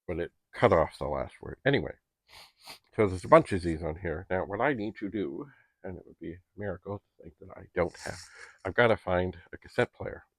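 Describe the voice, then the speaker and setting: A man's voice: sits low, expressive, fairly quick, no echo. A middle-aged man talking casually to camera in a rambling, chatty, politely old-fashioned way, as a home vlogger. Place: basement at home.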